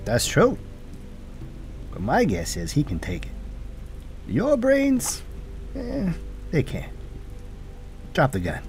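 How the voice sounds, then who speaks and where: A man's voice: a little high, wildly swooping pitch, takes it slowly, long pauses, darkish tone, faint music behind, full character voice. A man playing a cocky, exasperated hard-boiled cop in a noir audio drama, sardonic and streetwise with punchy delivery. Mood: cool, threatening.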